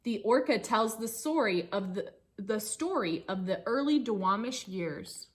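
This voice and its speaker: A woman teacher reading a rhyming picture book aloud to children in a singsong way, pausing with short asides to engage her listeners. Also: medium pitch, animated.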